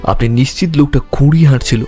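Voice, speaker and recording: A man playing a sharp, intense detective in an audio story, speaking in a deliberate, dramatic way. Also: medium pitch, close to an everyday voice. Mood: probing, urgent. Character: sharp, intense male detective reasoning through a murder case. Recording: dramatic music bed.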